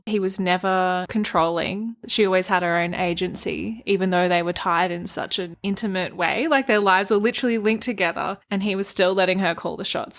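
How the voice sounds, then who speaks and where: Woman co-host on a book podcast, bit of a low voice, just chatting casually. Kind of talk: casual book discussion chat.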